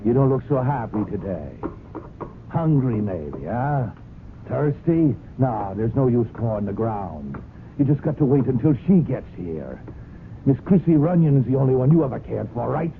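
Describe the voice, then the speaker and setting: An actor playing a bitter, spiteful stablehand who talks to a horse with a sneer, in old-time radio drama style. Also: male voice, medium pitch, big pitch swings, normal speed, gravelly, narrow, tinny audio. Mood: mocking. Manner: sneering, muttering to himself and the animal. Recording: noisy, thin old radio broadcast.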